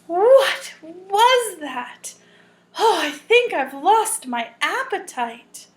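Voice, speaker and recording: A fussy mother bear who gasps and frets at every surprise, as a woman puts her on. Her voice rises high and loud, stretching words out. A storybook voice. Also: sing-song, extremely slow, heavily acted. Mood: alarmed.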